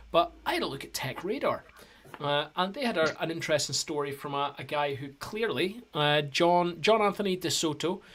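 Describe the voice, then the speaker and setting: Rambling man, slightly high voice. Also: a bit bright. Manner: rambling storyteller with many ums and restarts. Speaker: podcast co-host. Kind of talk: casual chat.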